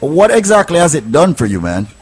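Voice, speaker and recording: A man's voice: fairly high pitch, huge pitch swings, fairly quick, slightly rough, soft background music. A man hosting a radio call-in show, asking short questions and plugging a product. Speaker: radio host.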